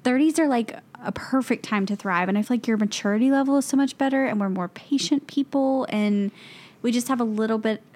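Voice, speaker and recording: A woman's voice: a little high, a little fast, darkish tone. A woman in her thirties co-hosting a podcast, chatting casually and agreeing eagerly. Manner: chatty, agreeing, upbeat with many likes. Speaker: podcast co-host. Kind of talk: casual chat.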